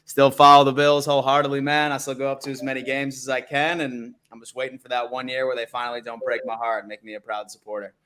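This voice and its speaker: A man's voice: mid-range voice, flat delivery, fast talker, hardly any pauses, sharp tone, clean tone. A man in his thirties from Buffalo, New York, hosting a casual sports call-in stream in a laid-back way. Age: 30s. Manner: laid-back, says "man" often, hypes guests.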